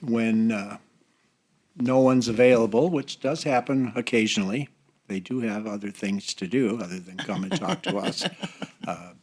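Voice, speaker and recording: Older American man, halting and measured. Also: lowish voice, a little mellow. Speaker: church seniors' group member. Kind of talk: casual podcast conversation.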